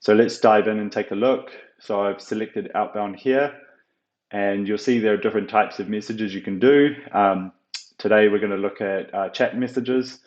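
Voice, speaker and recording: Low-voiced man in an echoey room. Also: fairly even intonation, a little mellow. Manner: hesitant, halting explanation with frequent ums and uhs. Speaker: software tutorial presenter. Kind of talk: unscripted screen-share walkthrough. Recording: roomy, echoing, slightly dull sound.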